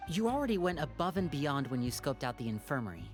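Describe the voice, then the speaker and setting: An actor playing a composed, watchful young man in a video game, speaking politely and deliberately, with music behind. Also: on the high side, big pitch swings. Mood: composed, businesslike. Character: composed, courteous, watchful young man, protective older brother.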